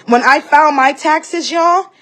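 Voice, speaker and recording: A woman's voice: sits high, measured pace, pushed and bright. A woman giving a heated, profane personal rant as a vlogger, emphatic and repeating herself as she tells her story. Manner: emphatic and repetitive, acting out others' remarks.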